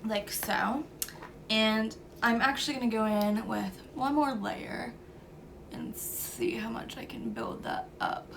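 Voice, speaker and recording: Young woman w a blocked nose, slow chill makeup how-to, little um's and like's, faint hiss behind. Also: a little high, creaky. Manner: easygoing step-by-step talk with "um" and "like". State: stuffy nose, sniffling from a cold. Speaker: beauty vlogger. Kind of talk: makeup tutorial narration.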